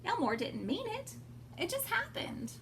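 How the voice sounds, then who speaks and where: A woman reading a storybook aloud expressively to young children, pausing to explain things simply. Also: very high voice, wildly swooping pitch. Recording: clear background noise.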